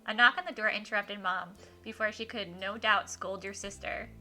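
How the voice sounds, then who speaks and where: A woman livestreaming a game, switching between informal chat with viewers and expressive read-aloud in a giggly, animated manner. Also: mid-range voice, moderate pace, soft background music. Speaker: livestreamer hosting a visual novel playthrough.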